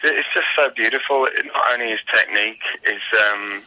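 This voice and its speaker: A man's voice: medium pitch, a little fast, bright, forceful tone, poor audio. A man answering casually as a musician guest in an interview, reflective and searching for his words. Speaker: guest musician, singer-songwriter.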